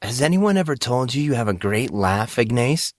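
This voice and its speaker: A man's voice: medium pitch, very animated, moderate pace, dry recording, studio quality, natural voice. A man voicing a friendly teenage boy with a casual, playfully gallant manner in an audiobook. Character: friendly, courteous, self-deprecating teenage boy student.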